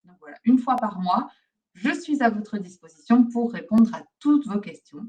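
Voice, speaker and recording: A woman from Belgium with a fairly high voice hosts an informal teaching session. She explains things in a chatty, encouraging way, as a trainer would. The voice sits slightly away from the microphone. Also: lively intonation, normal speed, mellow, far from the mic. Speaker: host, pharmacist and aromatherapy trainer. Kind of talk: informal live question-and-answer teaching.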